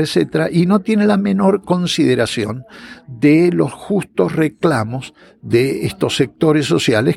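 Gravelly man explaining history. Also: on the high side. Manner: lecturing, with frequent "este" and "eh" fillers and restarts. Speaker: historian being interviewed. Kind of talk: explanatory interview answer.

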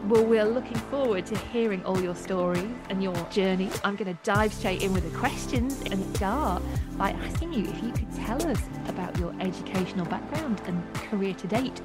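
A woman's voice: mid-range voice, moderate pace, over loud music. A woman hosting a podcast, reading a scripted introduction and putting questions to a guest. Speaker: podcast host.

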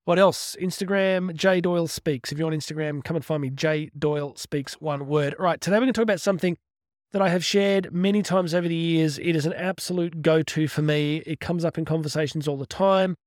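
A man, giving an energetic, conversational motivational podcast talk on his own and often restarting his words. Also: fairly high pitch, a little fast, dry recording, clean studio sound. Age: 50s. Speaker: motivational speaker. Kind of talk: solo motivational podcast monologue.

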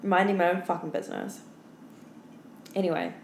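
A woman's voice: fairly low pitch, flat delivery, moderate pace. A tired young woman co-hosts a casual podcast in a roomy, echoey space. She tells work stories in slangy, sweary speech full of "like". There is noticeable noise behind her. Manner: slangy, sweary, heavy use of "like". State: tired from being up all night. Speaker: podcast co-host. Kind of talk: casual chat, telling work anecdotes. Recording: echoey room with clear background noise.

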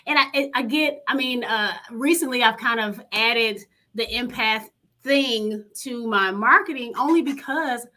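A woman's voice: fairly high pitch, a little slow, pushed and bright. A woman therapist chatting enthusiastically in a podcast conversation, full of fillers. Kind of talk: casual conversation.

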